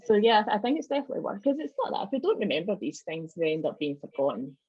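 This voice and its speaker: A woman's voice: mid-range voice, very animated, quick pace, breathy edge, dry recording. A woman with a Scottish accent giving an informal, wry presentation on folk traditions over a video call. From Scotland. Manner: wry, joking asides. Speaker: club member giving a presentation. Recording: slightly dull video-call audio.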